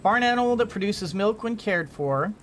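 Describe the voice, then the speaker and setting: A woman's voice: medium pitch, expressive, moderate pace, a little hiss or hum. A middle-aged woman giving casual gameplay commentary, rambling, talking to herself and exclaiming playfully. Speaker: let's-play host.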